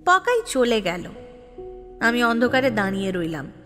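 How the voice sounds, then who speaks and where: Actress as scheming lovestruck young woman, audio drama narrator. High voice, reverberant, exasperated and confiding. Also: big pitch swings, moderate pace. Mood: brooding, resolute. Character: scheming, proud, restless young woman in love, narrating her story. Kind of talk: dramatic first-person audio story narration. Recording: long reverb, music behind. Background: music.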